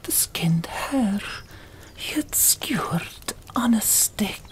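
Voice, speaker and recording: A woman narrating an audiobook in a breathy ASMR whisper, hushed and deliberate, pausing between short phrases. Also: medium pitch, lively intonation.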